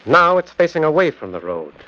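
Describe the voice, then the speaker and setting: An actor playing a confident, reassuring criminologist sleuth in a calm, theatrical 1940s radio style. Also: male voice, a little high, fairly quick. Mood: intrigued. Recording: muffled, noisy 1940s radio recording.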